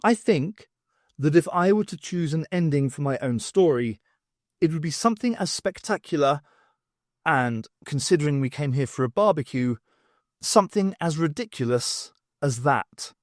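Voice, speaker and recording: A man's voice: a little high, lively intonation, normal speed, dry recording, studio quality, close to an everyday voice. A man playing a wry, philosophical male academic in an audiobook story.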